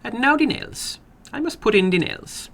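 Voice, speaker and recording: A man voicing a funny, sinister little foreign gambler in a horror story, brisk and courteous, with precise, stilted non-native phrasing. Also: very high-pitched, expressive, a bit bright, voice pushed up, full character voice. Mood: brisk, eager. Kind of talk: dramatic horror story reading.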